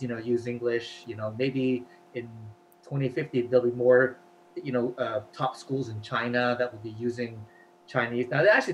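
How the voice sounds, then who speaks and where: A man's voice: mid-range voice, a little hiss or hum, poor audio. A man whose first language is Cantonese, chatting as an interview guest and explaining at length with many fillers. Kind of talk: casual interview talk.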